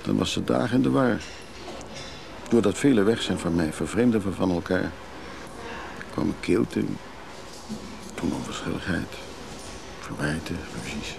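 A man's voice: low-pitched, expressive, moderate pace, gravelly, long pauses, exaggerated voice. An actor playing a remorseful, melancholy traveling father in a confessional radio play monologue. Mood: regretful. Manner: reflective, melancholy. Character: brooding father and husband, traveler, craving drink, remorseful. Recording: noisy old radio play recording.

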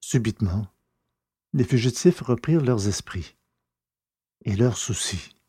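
A man narrating a scripted story in a measured, literary reading style. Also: medium pitch, expressive, dark tone, breathy edge, no room sound, studio quality.

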